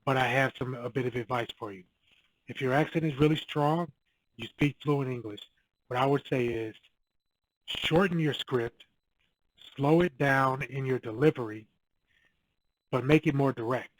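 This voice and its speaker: A man's voice: mid-range voice, normal speed, long pauses, slight background noise, rough recording. A man talking straight to camera, giving direct coaching advice on cold calling and repeating his key points. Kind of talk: casual advice video to camera.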